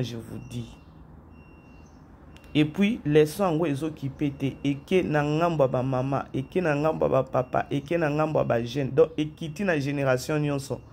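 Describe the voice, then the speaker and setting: A man's voice: mid-range voice, darkish tone, a little hiss or hum. A man who has a head cold, hosting a chatty commentary vlog, repeating phrases and talking straight to his viewers. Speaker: YouTube channel host. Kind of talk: casual commentary vlog, mixing French and Lingala.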